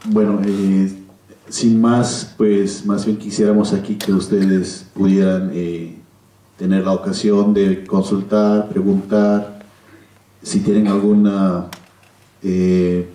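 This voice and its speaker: Middle-aged Mexican male panelist, measured and hesitant, dark low tone, distant hall recording. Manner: measured, hesitant, pausing often. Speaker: panel speaker. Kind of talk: public round-table talk. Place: cinema auditorium stage. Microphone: handheld PA microphone, filmed from audience. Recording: echoey hall, distant camera microphone.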